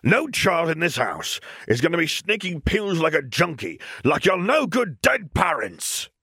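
A furious middle-aged uncle from a storybook, acted by a male narrator, ranting with open contempt. His voice climbs well above the reader's low register into a bullying tirade, in a close, dry recording. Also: very animated, a little slow, vocal fry, sharp tone, slightly rough, dry recording, heavily acted.

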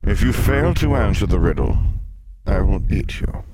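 Guy doing a big mocking sphinx, low booming sneer, noisy recording. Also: expressive, moderate pace. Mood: stern. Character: mocking, menacing sphinx, huge lion-bodied creature. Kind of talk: audiobook character voice.

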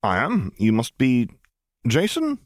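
A man's voice: medium pitch, huge pitch swings, slow pace, dry recording, studio quality, pitched above the performer's own voice. Guy playing a polite buttoned-up imperial admiral man in an audiobook. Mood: courteous. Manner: formal, courteous.

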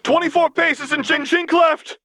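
Young fighter in an action story, read by a man: high, sharp, urgent shouted commands, dry sound. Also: fairly even intonation, measured pace, no echo, heavily acted. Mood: urgent, shouting.

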